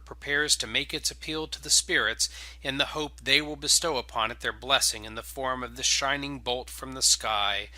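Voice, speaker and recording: A man hosts and narrates with an informal, keen delivery. His voice is very bright and forceful, at an ordinary male pitch. The sound is dry and close. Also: no room sound, rough recording. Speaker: game writer and host introducing and reading stories. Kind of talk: casual podcast intro, then dramatic scripted narration.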